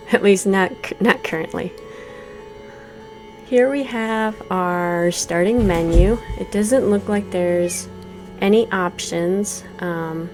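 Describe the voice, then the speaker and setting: A woman's voice: lowish voice, a little mellow. A woman in her forties giving thoughtful, hesitant let's play commentary with many fillers while reviewing a game. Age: 40s.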